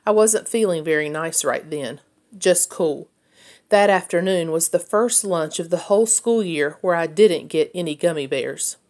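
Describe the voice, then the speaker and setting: Woman, slightly low voice, expressive read-aloud, children's chapter book narration. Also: moderate pace. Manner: acts out dialogue as a child narrator's story.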